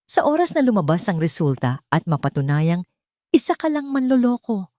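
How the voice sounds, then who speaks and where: A woman's voice: medium pitch, big pitch swings, normal speed, dark tone, no room sound, studio quality, natural voice. A woman with a Filipino accent playing a cold, proud young billionaire man who speaks tersely and with menace in an audio drama. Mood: cold, threatening.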